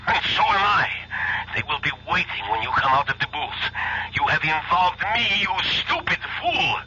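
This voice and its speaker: A man's voice: on the high side, sing-song, moderate pace, pushed and bright, exaggerated voice. An actor playing an angry male criminal ringleader who scolds with contempt over the phone. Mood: contemptuous. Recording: dull lo-fi radio broadcast, faint hiss.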